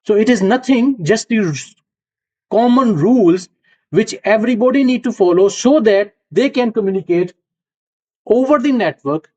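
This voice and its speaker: A middle-aged male lecturer from Punjab speaks with a Punjabi accent and a fairly high voice, walking students through concepts step by step. He often pauses to check that they follow. The recording is dull and lo-fi. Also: darkish tone. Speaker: university lecturer. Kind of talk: classroom lecture.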